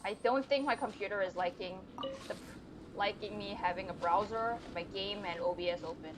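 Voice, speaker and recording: A woman's voice: mid-range voice, a little fast, poor audio. A woman giving casual live game commentary as a streamer, chattily reacting aloud to the game and her chat. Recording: game music behind the voice. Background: game music.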